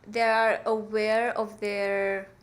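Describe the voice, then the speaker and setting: A woman's voice: medium pitch, level tone, slow pace. Give me a young woman from Romania, Romanian native, talking casually and hesitantly as a podcast guest. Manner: thoughtful, hesitant, many fillers. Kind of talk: casual interview chat. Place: small bright studio room. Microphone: studio mic on arm.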